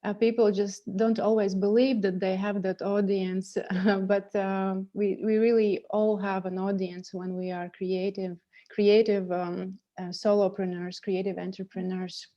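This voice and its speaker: A woman's voice: mid-range voice, level tone, unhurried, few pauses, lo-fi sound. A woman hosting an interview over a video call, speaking hesitantly with many fillers. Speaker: interview host. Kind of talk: interview, casual chat.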